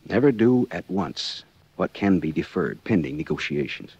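An actor playing a sardonic, glib American hard-boiled private detective in 1940s radio style. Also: male voice, sits low, expressive, moderate pace, darkish tone, husky, full character voice. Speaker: lead actor and narrator. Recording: muffled, lo-fi 1940s radio recording.

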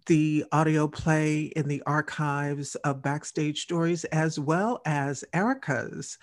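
Slow-paced older woman hosting. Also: low voice, darkish tone, no room sound, studio quality. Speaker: radio show host. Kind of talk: measured interview hosting. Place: indoor room on a video call. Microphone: video call.

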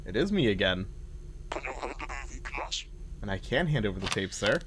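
A man's voice: lowish voice, very animated, moderate pace, fairly bright tone, a little hiss or hum. A man doing casual playthrough commentary as a gaming host, thinking aloud and talking back to game characters. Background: game ambience.

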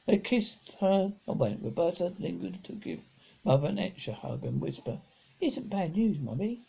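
A middle-aged man reads a children's book aloud in a rough, creaky voice. His pitch swings up and down in a lively way, and the tone is dark and mellow. He reads haltingly, tripping over words and starting them again. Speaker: amateur storybook reader. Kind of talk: reading a children's novel aloud. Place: indoors at home, seated on a sofa.

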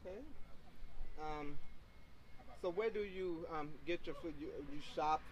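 A woman interviewer asks friendly, loosely worded questions outdoors. She is a bit off the mic, and clear background noise sits under her voice. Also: sits low, unhurried. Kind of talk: casual street interview. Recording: noisy outdoor recording, mic off-axis.

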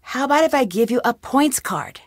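A woman's voice: sits high, lively intonation, normal speed, no echo, studio quality. A woman voicing an inquisitive, friendly young amateur sleuth in a video game, speaking in a questioning, conversational way. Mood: probing. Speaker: game protagonist. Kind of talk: video game dialogue lines.

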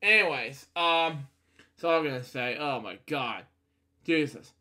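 A man reading a bedtime storybook aloud in a halting way, often breaking off to comment. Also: fairly high pitch, bright, forceful tone. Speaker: storybook reader. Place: indoor room at a computer.

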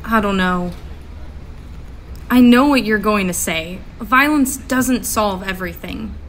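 A woman's voice: medium pitch, takes it slowly, a bit bright, slight background noise. A woman playing a despairing, guarded young woman in a comic book dub, sardonic and incredulous. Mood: weary, incredulous. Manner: exasperated, incredulous.

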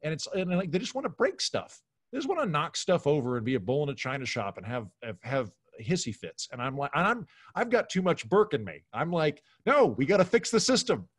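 A man's voice: a little high, big pitch swings, fairly quick, dry recording, clean studio sound. A middle-aged American man chatting casually and giving his opinions as a podcast guest on a video call. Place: home room on a video call.

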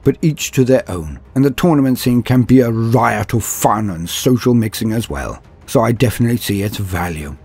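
Male narrator for tabletop wargame lore: lowish, expressive and swooping, theatrical in phrasing, husky, music underneath. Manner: theatrical, florid phrasing with drawn-out dramatic emphasis. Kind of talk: scripted narration, video essay. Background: background music.

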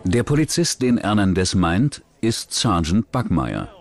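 Low-voiced male documentary narrator. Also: very animated, measured pace. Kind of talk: scripted true-crime narration.

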